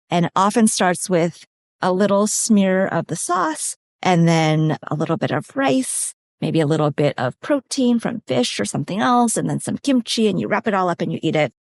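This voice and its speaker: A woman with a slightly low voice talks through nutrition as a podcast guest. She explains things in a practical, step-by-step way. Her voice is recorded close and dry, with no room sound. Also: no room sound. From California, USA. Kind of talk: conversational expert explanation.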